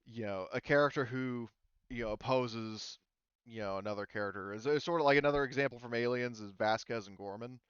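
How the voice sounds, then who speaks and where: A man acting as game master, explaining tabletop game rules to a group in a casual, halting, informal way. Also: medium pitch, smooth, dry recording, muffled recording.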